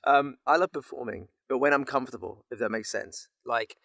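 A male guest chats casually in a bright, sharp voice. He rambles and breaks into his own sentences. The sound is very dry, from a small home booth. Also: mid-range voice, brisk, creaky, no room sound. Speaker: voice actor, podcast guest. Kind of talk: casual chat. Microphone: microphone in a home booth.

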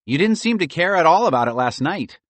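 A man voicing a sensible, light-sleeping female pet squirrel who talks in a chirpy, gentle way. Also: a little high, big pitch swings, fairly quick, no room sound, pitched above the performer's own voice.

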